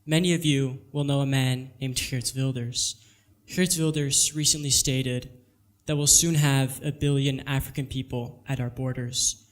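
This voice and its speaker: A teenage student speaker, grown up in Singapore, giving an earnest, measured prepared talk. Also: male voice, medium pitch, fairly even intonation. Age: teens.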